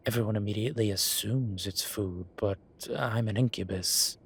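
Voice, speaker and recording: A man's voice: lowish voice, moderate pace, slightly rough. A man playing a vain, suave incubus demon who poses as a posh professor in an audio roleplay, with a wry, self-satisfied drawl. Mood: wry, teasing. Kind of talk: scripted audio roleplay acting. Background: ambient sound effects.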